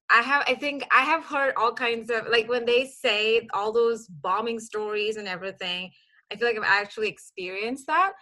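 Heldere, levendig vertellende vrouw uit Koeweit. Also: female voice, mid-range voice. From Kuwait. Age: 30s. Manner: animated, laughs often, many restarts and fillers. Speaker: podcast guest. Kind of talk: casual storytelling.

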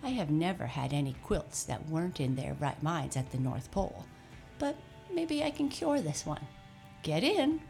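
A woman voicing a brisk, kindly old Santa Claus who speaks heartily and gives cheerful commands. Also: low voice, sing-song, gravelly, a little mellow, faint music behind, heavily acted. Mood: brisk, reassuring.

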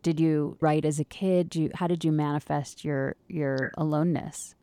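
A woman hosting a radio interview from a studio, asking questions in a calm, measured way. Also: low-pitched, no room sound. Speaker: radio show host.

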